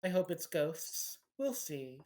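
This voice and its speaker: A woman's voice: deep voice, big pitch swings, slow, a little mellow. A woman acting as game master, playfully setting the scene of a tabletop horror game.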